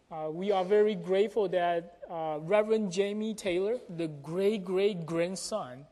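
A pastor giving announcements and a sermon to a congregation in a measured, explanatory way, with check-in questions to the listeners. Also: medium pitch, darkish tone. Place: church sanctuary during a service. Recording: reverberant hall sound.

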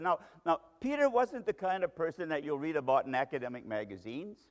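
A man preaching a sermon to a congregation, telling the story dramatically and with strong emphasis. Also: a little high. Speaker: pastor. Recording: long hall reverb.

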